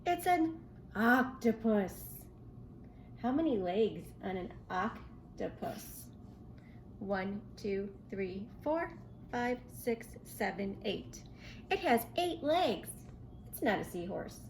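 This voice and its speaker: A woman reading a picture book aloud to young children in an expressive, questioning way. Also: medium pitch, takes it slowly, slight background noise. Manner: expressive, asks the listener questions. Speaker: children's storybook reader.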